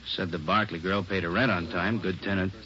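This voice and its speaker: Factual American cop, sharp low-ish voice, clipped reporting on a muffled vintage radio recording. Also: male voice, fairly even intonation, brisk. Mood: matter-of-fact. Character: matter-of-fact male policeman reporting from crime scene. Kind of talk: 1940s radio police drama dialogue.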